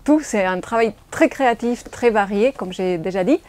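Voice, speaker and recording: A woman with a Spanish accent answers interview questions in plain, slightly non-native phrasing. Her voice is mellow and warm. The recording is dry and close. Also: mid-range voice, normal speed, no echo. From Spain. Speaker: graphic designer and photographer.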